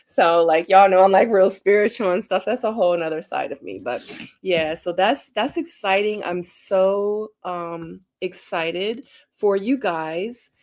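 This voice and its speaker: A woman chats with her viewers on a live stream, filling her talk with "like" and "y'all". Her was Korean. Her voice sits at an everyday middle pitch. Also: a little slow, a little mellow. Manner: chatty, says "like" a lot. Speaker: co-host. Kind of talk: casual live-stream chat.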